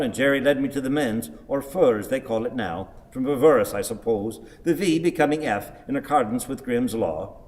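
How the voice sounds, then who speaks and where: An actor playing a grumpy, long-winded old husband in a radio play, with ponderous, pedantic, theatrical delivery. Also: male voice, medium pitch, in a large hall. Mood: brooding recollection. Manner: declamatory, ponderous, wordy.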